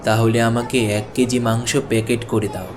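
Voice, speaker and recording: Man as bargain-seeking customer, cartoon, friendly, medium pitch, faint background noise. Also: fairly even intonation, brisk, a faint crowd, close to an everyday voice. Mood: curious, surprised. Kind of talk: Bengali cartoon voice acting.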